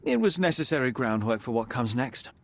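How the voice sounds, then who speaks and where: A man voicing a confident young male detective in a video game, calm and precise. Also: mid-range voice, a little fast, gravelly. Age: young adult. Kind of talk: video game cutscene dialogue.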